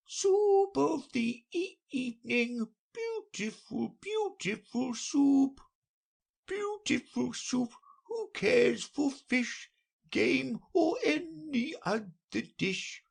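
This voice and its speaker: A sorrowful turtle creature sings in a very high, swooping voice. The notes are drawn out and sobbing, like a storybook lament full of self-pity. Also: male voice, creaky, a little mellow, exaggerated voice. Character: melancholy old Mock Turtle singing mournfully.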